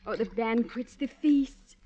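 An actress in a classic radio drama playing a lovestruck, melodramatic widow with an effusive manner. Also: female voice, a little high, a little slow, warm tone, a little hiss or hum, lightly acted. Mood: doting. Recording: dull, band-limited radio recording.

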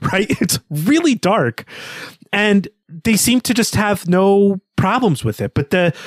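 A man's voice: medium pitch, no echo, clean studio sound. A man speaking analytically and articulately as a podcast co-host, discussing literature in a conversational way.

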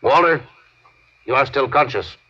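An actor playing an emotionless, superior alien captor in a vintage science fiction radio drama, speaking in stilted, formal, logical phrases. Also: male voice, mid-range voice, expressive, pushed and bright, slightly rough, exaggerated voice. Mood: coldly insistent. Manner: stilted, formal, deliberate phrasing without contractions. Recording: dull old radio recording.

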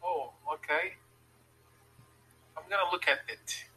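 A man's voice: high-pitched, slow pace, bright, forceful tone, slightly rough, low-quality recording. A man with a Turkish accent on a video call, giving short, polite replies in English. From Turkey. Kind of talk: casual video chat with a stranger.

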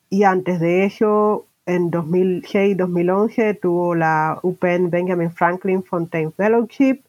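A woman's voice: on the low side, darkish tone, highs cut off. A woman hosting an informal bilingual podcast interview, mixing English words into her Spanish. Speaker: podcast host interviewing a guest.

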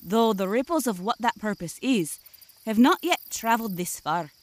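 An actress playing a dignified, solemn selkie elder with formal, ornate speech in a fantasy audio drama. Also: female voice, fairly high pitch, animated, moderate pace, lightly acted. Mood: mystical, stately.

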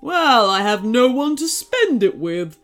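A woman voicing a grumpy, lonely male snow monster in a children's story, with a loud, drawn-out, sulky delivery over music. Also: on the high side, very animated. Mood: bitter, sad.

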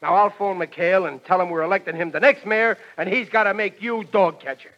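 A man's voice: high-pitched, fairly quick, lightly acted. An actor playing a blustering, well-meaning working-class American father in a 1940s radio comedy, folksy and bumbling. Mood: blustering, confident. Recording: dull vintage radio recording.

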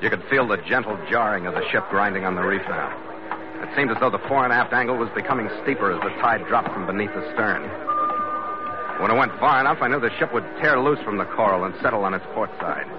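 Blunt, no-nonsense ship's captain played by a man, quick delivery, hard-boiled 1940s radio drama, muffled recording. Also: on the low side, music in the background, close to an everyday voice. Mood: tense narration. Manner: clipped, impatient, no-nonsense. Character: brisk, blunt, tough ship's captain and narrator.

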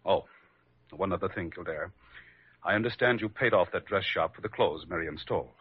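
Casting: hard-headed strict dad, low raspy voice, unyielding, muffled vintage radio drama. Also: male voice, normal speed. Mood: curt, cold. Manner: curt, unyielding, formal. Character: stubborn, hard-headed middle-aged father, strict and self-righteous. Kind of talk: old-time radio drama acting. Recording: dull vintage radio recording.

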